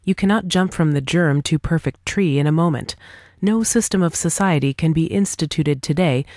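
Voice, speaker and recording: A woman's voice: deep voice, moderate pace, a little mellow, no room sound, studio quality. A woman reading a scripted dialogue aloud as for an audiobook, in a formal, argumentative manner. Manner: formal, argumentative, rhetorical questioning. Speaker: audiobook reader.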